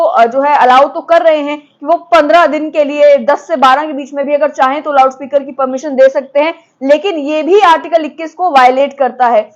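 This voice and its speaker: A woman news presenter. She delivers explanatory commentary, slipping English technical terms into her sentences. The audio is slightly dull and lo-fi. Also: high-pitched.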